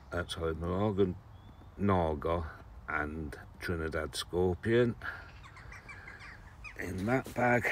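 Older english guy, sixties, chatty and rambly at the allotment, deep voice, bit of bird noise around. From England. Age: 60s. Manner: rambling, self-correcting, chatty. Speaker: allotment gardening vlogger. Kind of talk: casual chat to camera. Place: allotment greenhouses and plots, partly outdoors.

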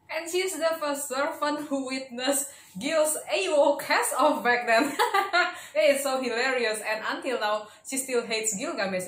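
Slow-talking giggly young woman. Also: medium pitch, a bit bright, a little hiss or hum. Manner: giggly, chatty fan talk. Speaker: reaction channel host. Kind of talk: casual reaction commentary. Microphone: studio mic on a stand. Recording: roomy sound with music behind.

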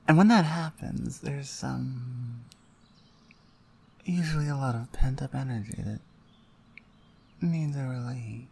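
Low-voiced, commanding orc shaman woman, acted out by a woman. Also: vocal fry, a little mellow, a little hiss or hum, full character voice. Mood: teasing, suggestive. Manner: commanding, deliberate, taunting. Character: stern, dominant female orc shaman, teasing and suggestive. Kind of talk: roleplay ASMR acting.

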